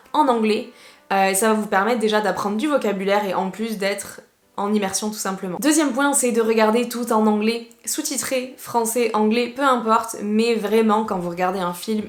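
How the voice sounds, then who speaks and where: A lively woman with a southwestern French accent talks through a casual video lesson, chatty and quick to drop English phrases in. Her voice sits mid-range in pitch. The room around her sounds echoey. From southwest France. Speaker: English teacher.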